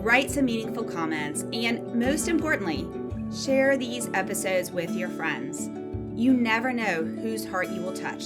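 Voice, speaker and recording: Woman, podcast announcer, scripted read, mid-range pitch, strong guitar music bed. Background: plucked guitar music.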